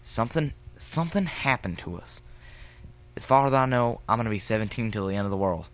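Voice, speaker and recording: A man's voice: on the low side, expressive, a little fast, a bit bright. An amateur actor playing an earnest, hesitant seventeen-year-old boy in an audio drama. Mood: earnest confession. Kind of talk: fan audio drama acting.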